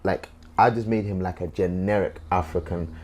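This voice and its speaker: A man's voice: low voice, steady tone, normal speed, a little mellow. A man from Sudan, a comedian, chatting casually on a podcast, wandering off his point and checking in with "you know what I mean". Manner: rambling, loses his thread, keeps asking "do you know what I mean".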